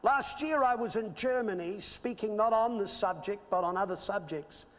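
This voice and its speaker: A man's voice: sits high, moderate pace, a bit bright. A man in his fifties with an Australian accent, preaching a sermon and telling a personal story, earnest and building to emphatic peaks. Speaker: pastor. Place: church stage with screen. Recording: reverberant church hall.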